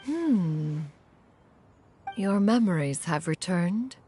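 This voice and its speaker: A woman's voice: lowish voice, expressive, drawn-out words, mellow, soft background music. A woman playing a proud, learned immortal adeptus with formal, archaic diction in a fantasy video game. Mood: composed. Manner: formal, archaic, pompous, calls herself "one".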